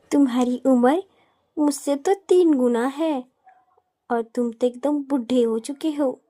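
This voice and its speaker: A woman playing a haughty, mocking young princess in an animated fairy tale, with a taunting manner. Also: sits high.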